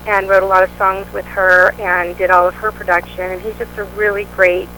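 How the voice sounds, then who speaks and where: Woman guest, bright tone, upbeat and chatty, faint background hiss. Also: medium pitch, low-quality recording. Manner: upbeat, chatty, many fillers. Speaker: band vocalist, interview guest. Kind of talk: casual interview answers.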